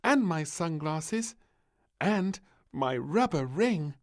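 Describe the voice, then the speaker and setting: A man voicing an excitable, stubborn little girl princess in a read-along storybook, with a shrieky, eager, whining delivery. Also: on the high side, slow, voice pushed up, full character voice. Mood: excited, eager.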